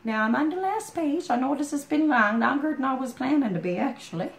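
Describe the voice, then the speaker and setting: A hoarse woman with a chest cold, rambling in a folksy, heartfelt way as she chats to a friend. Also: sits high, expressive, fairly quick, slight background noise. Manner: rambling, folksy, full of "you know". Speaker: storytime vlogger. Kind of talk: casual heartfelt chat to a friend.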